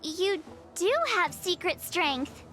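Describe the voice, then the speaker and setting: Perky young game heroine, very high voice, bright, slow drawn-out delivery, curious, exclamatory. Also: female voice, heavily acted. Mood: astonished, curious. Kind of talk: animated game character acting.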